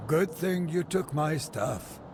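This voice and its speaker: An actor playing a grieving, forgetful old sorcerer in a fantasy game, solemn and wistful. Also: male voice, a little high, expressive, slow pace, noisy background. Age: older. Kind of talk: fantasy video game voice acting. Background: music.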